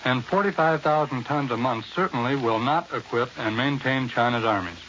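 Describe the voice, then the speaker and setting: An American man giving a formal, measured, scripted war correspondent's report on 1940s radio. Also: medium pitch, a bit bright, dull audio. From United States. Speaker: war correspondent, interview guest. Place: New York radio studio. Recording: hissy, band-limited 1940s radio recording.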